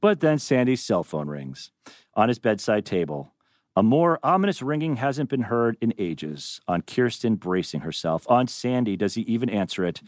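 A man's voice: on the low side, very animated, normal speed, no room sound, clean studio sound. A man narrating a screenplay's stage directions at a table read. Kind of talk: animated script reading.

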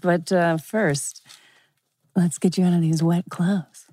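A woman with a husky voice acting a cool, teasing young girlfriend at a teen drama table read. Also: lowish voice, steady tone, slow pace, dark tone, no echo. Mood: welcoming.

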